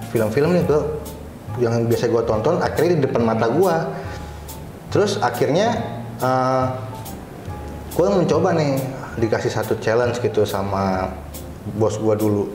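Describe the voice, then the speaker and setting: A man's voice: medium pitch, expressive, measured pace, long pauses, a little mellow. Jakarta man chatting in a roomy space. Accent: Jakarta Indonesian. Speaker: interview guest, cyber security supervisor. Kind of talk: casual conversational storytelling. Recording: roomy echo, faint background music.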